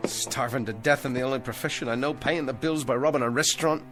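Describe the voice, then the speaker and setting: A man's voice: mid-range voice, fast talker, close to an everyday voice. An actor playing an anxious, self-pitying ventriloquist down on his luck, in classic TV drama style. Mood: bitter. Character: down-on-his-luck male ventriloquist turned thief. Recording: old TV soundtrack with music underneath.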